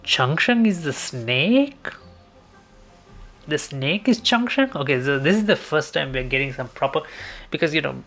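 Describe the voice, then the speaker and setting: A man's voice: a little high, animated, a little slow, fairly bright tone, soft background music. A man giving casual gameplay commentary as a streamer, rambling and hesitant, often repeating himself. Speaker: game streamer.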